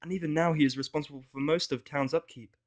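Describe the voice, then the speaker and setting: A man's voice: fairly high pitch, steady tone, fast talker, voice pushed up, lightly acted. A man voicing a playful, cheeky young female pony in a fan fiction audio story, chatty and teasing. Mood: hushed, explaining.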